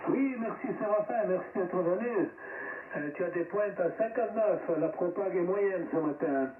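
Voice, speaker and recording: A man with a slightly high voice hosts an amateur radio round. He is friendly and thankful in casual exchanges. His voice comes through a narrow radio band, roomy and with faint noise. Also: normal speed, far from the mic. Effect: amateur radio transmission. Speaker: net host, amateur radio operator.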